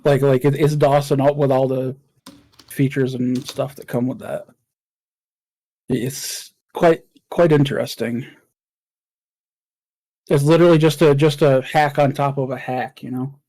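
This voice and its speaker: A man's voice: mid-range voice, fairly even intonation, unhurried, pauses often, dark tone, poor audio. A young man co-hosting a casual streamed chat, eagerly explaining tech details and restarting his phrases. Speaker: co-host.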